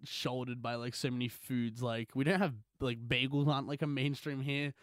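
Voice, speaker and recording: A man's voice: medium pitch, little pitch movement, moderate pace, smooth, no room sound, clean studio sound. A young adult man with an Australian accent, chatting casually as a podcast co-host in a slangy, rambling, jokey way. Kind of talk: casual banter.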